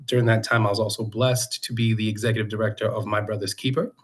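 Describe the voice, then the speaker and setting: A middle-aged man from Boston with an American accent and a fairly low voice. He talks informally, like a local candidate introducing himself to neighbors. His delivery is halting, with pauses and self-corrections as he goes. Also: little pitch movement. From Boston, United States. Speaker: city council candidate. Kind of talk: informal campaign introduction speech at a community meeting.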